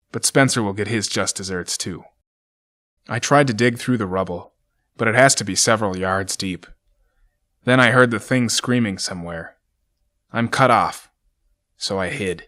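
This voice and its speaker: A man voicing a doomed ranger who reads his last journal entries in a grim, measured way. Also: lowish voice, a bit bright, natural voice. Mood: resigned. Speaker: journal narrator in an audio drama. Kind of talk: dramatic reading of a journal.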